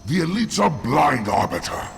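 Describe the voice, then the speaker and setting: An actor playing a proud, bitter alien heretic leader in a video game, speaking in dramatic declamation. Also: male voice, mid-range voice, wildly swooping pitch, normal speed, vocal fry, slight background noise. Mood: bitter defiance. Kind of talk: video game character acting.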